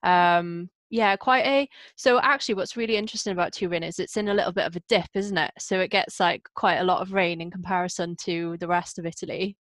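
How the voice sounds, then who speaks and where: A woman hosting a podcast, chatting casually with quick asides. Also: on the low side, a bit bright, dry recording. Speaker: podcast host. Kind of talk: casual chat.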